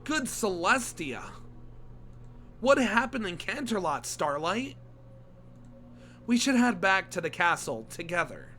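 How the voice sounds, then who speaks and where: A man with a hoarse voice from a cold, reading aloud the lines of a studious, caring young female pony in a comic. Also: sits high, very animated, slow, long pauses, bright, forceful tone, a little hiss or hum, exaggerated voice. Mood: worried.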